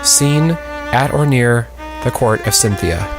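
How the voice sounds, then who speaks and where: A man's voice: mid-range voice, animated, takes it slowly, music in the background. A man reading out a play's cast list and stage directions in a plain, unrehearsed cold-read style.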